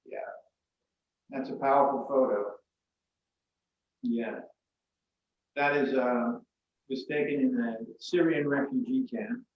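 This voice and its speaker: A man, a photographer guest, speaking reflectively and haltingly, with many pauses. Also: mid-range voice, a little hiss or hum. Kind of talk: casual interview talk. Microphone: video call. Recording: echoey, distant, muffled video call audio.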